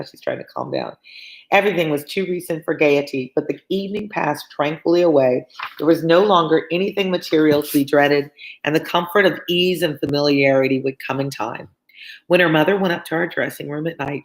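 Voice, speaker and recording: A woman's voice: low-pitched, poor audio. A woman reading a novel aloud as a tutor, breaking off for informal, chatty commentary. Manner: informal, conversational asides. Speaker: read-along host and tutor.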